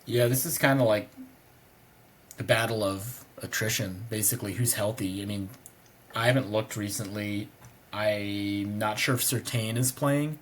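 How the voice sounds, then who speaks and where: A man co-hosting a sports podcast, hoarse and congested, talking in a relaxed, rambling way. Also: on the low side, measured pace. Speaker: podcast co-host. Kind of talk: casual sports chat.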